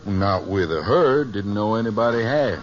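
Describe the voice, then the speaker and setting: An actor in a 1950s radio western playing a seasoned American cowhand, casual and folksy. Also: male voice, on the low side, expressive, moderate pace, natural voice. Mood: matter-of-fact. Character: plainspoken, seasoned male cowhand. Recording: muffled old radio recording, faint noise.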